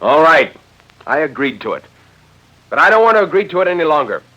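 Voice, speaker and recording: A man's voice: a little high, sing-song, bright, forceful tone, pitched above the performer's own voice, heavily acted. An actor playing an earnest, stubborn man who objects insistently, in 1940s radio drama style. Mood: agitated, indignant. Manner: agitated, insistent, halting when interrupted. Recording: dull old radio recording.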